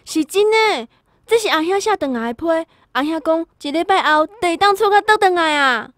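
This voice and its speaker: A woman playing an excited young female relative in a radio drama, speaking with a Taiwanese accent. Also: very high voice, moderate pace, no room sound, clean studio sound. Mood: excited, joyful.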